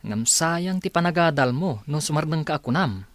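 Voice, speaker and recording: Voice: man as animated young Ilocano friend. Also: a little high, moderate pace, dry recording, lightly acted. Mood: concerned. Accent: Ilocano Filipino. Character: concerned young male friend, generous and loyal. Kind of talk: Ilocano radio drama acting.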